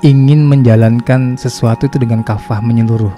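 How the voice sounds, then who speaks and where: A man's voice: medium pitch, steady tone, fairly quick, warm tone, soft background music. A man with a Jakarta Indonesian accent co-hosting a casual chat, reacting and echoing in buddy-like slang. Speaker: podcast co-host.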